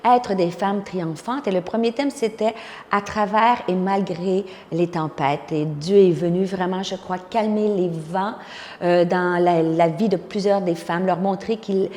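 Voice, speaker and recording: A woman's voice: lowish voice, a little mellow. A woman in her fifties with a Québécois accent, a pastor and conference speaker giving a personal testimony with words of encouragement. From Quebec, Canada. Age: 50s. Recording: long hall-like reverb.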